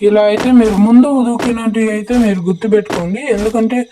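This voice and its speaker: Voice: mid-range voice, steady tone, fast, background noise. A gaming creator with a Telugu accent and a voice changer effect, giving instructional, repetitive gameplay tutorial commentary. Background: music.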